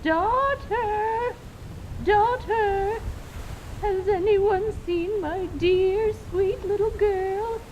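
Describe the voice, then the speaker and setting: An elderly storybook granny in a radio play. Her voice sits high and she takes her time, scolding and teasing with quaint theatrical turns of phrase. There is some faint noise behind her. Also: steady tone, extremely slow, a little mellow, highs cut off, exaggerated voice. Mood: calling out, worried. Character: teasing elderly human grandmother, small and wrinkled, fondly scolding. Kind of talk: dramatized radio theater acting.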